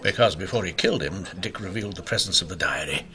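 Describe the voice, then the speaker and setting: An actor playing a stern, driven Victorian inspector in a radio mystery, with emphatic, intense delivery. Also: male voice, low-pitched, quick pace, pushed and bright. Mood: urgent explaining. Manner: emphatic, intense, clipped declarations. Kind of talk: old-time radio mystery acting.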